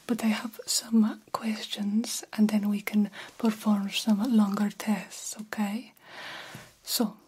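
A woman playing an attentive vampire doctor in an ASMR roleplay, whispering softly and soothingly with a breathy voice. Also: medium pitch, poor audio. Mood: calm, questioning. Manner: hushed, unhurried, soothing bedside talk. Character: female vampire doctor, attentive, calmly examining a patient.

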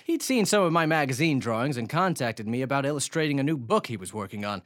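An actor playing a genial, hard-drinking bohemian illustrator in a radio drama, chummy and wry, with period slang. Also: male voice, medium pitch, expressive, fairly quick, no room sound, clean studio sound, close to an everyday voice. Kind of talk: dramatized audio drama dialogue.

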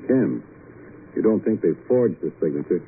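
Sober male spy boss, lowish voice, vintage radio drama, lo-fi with music. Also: lively intonation, a little hiss or hum. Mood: measured concern. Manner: measured, businesslike. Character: sober middle-aged male intelligence bureau chief. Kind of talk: 1950s radio acting.

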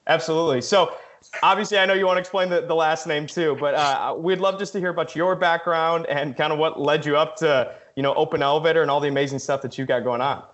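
A man's voice: fairly high pitch, fairly even intonation, fast talker, fairly bright tone. A man hosting a podcast in a casual, effusive, enthusiastic way. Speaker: podcast host. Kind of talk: podcast interview, casual introduction.

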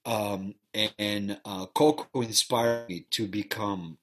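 A man's voice: lowish voice, fairly even intonation, takes it slowly, a bit bright. A middle-aged man from Bulgaria, an actor and theater teacher giving a reflective interview, often repeating words as he restarts. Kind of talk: interview conversation.

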